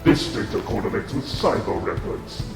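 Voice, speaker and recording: A man voicing a cold, emotionless Cyberman in slow, formal monotone through a robotic ring-modulator filter. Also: fairly low pitch, creaky. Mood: cold, triumphant. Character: cold emotionless male Cyberman, machine soldier. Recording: echoing processed clip with music behind.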